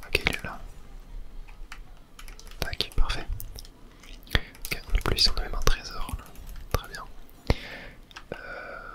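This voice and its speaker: A man whispering breathy, murmured running commentary as he plays a video game for ASMR viewers. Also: slow pace, pauses often.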